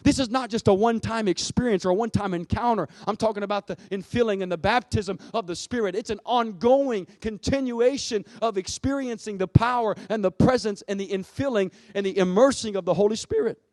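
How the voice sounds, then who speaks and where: A man's voice: high voice, a little fast, hardly any pauses, darkish tone, no room sound, studio quality. A man preaching an impassioned Pentecostal sermon, emphatic and exhorting, repeating phrases and throwing out rhetorical questions.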